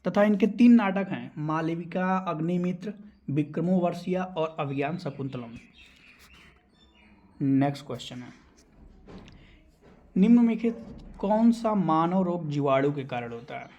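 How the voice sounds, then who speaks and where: A male exam coach with a fairly high-pitched voice. He explains quiz answers in an instructional way. Key terms get repeated so that students can memorise them. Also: slow pace, long pauses, dark tone, muffled recording.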